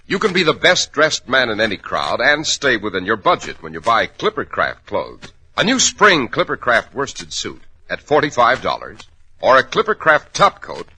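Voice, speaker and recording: A man's voice: mid-range voice, bright, forceful tone, slightly rough. A man with an American accent, a polished and emphatic 1940s radio announcer and host delivering scripted lines.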